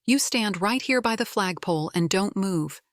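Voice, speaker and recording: A woman's voice: mid-range voice, lively intonation, moderate pace, dry recording, studio quality, close to an everyday voice. A woman voicing a bossy but caring young girl for a storybook, exclaiming and grumbling as an exasperated big sister.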